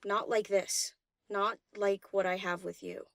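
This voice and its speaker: A woman's voice: mid-range voice, little pitch movement, unhurried, gravelly, no room sound. Woman playing an easygoing, teasing pony, dry close sound. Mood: tender, sincere. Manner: casual, slangy, teasing. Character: easygoing teasing female DJ pony, casual and playful. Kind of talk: fan audiobook character acting.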